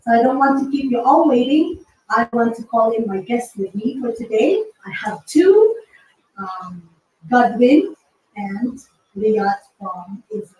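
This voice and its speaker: A woman hosts an online chat with a bright, slightly high voice and an upbeat, welcoming manner. She sits back from the mic, and her voice rings around a bare, echoey room. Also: lively intonation, measured pace, distant mic. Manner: upbeat and welcoming, laughs often. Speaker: host. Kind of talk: online interview.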